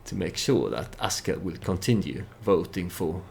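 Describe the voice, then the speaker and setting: A man giving casual storytelling commentary over a strategy game, hesitant and halting as he thinks aloud. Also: deep voice, expressive, creaky, a little mellow, a little hiss or hum. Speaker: let's play YouTuber narrating his strategy game.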